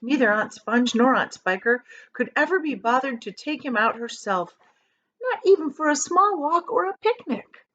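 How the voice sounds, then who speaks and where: A woman reads a children's story aloud with very lively, swooping intonation. She leans on key words and stretches them out for drama. The recording is slightly dull and lo-fi. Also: sits high. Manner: expressive, dramatic emphasis with drawn-out key words. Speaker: teacher reading aloud.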